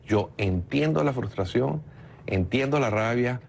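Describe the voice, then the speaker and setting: A man's voice: mid-range voice, expressive, measured pace, gravelly, a little mellow, a little hiss or hum. A man from Venezuela, a political consultant arguing his point insistently in a heated TV interview.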